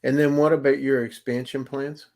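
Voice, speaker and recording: A man's voice: medium pitch, level tone, moderate pace, a little mellow. A man on an investor panel pressing a founder with blunt, direct questions about money and plans. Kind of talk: probing business questions.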